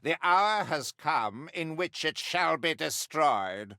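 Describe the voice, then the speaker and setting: A zealous witch hunter from a video game, haughty and grim. His voice sits fairly high and cuts sharply. He sermonizes slowly and theatrically, with deliberate, righteous conviction. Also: animated, pushed and bright, no echo, studio quality, heavily acted. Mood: haughty, resolute. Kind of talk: scripted dramatic video game mission briefing.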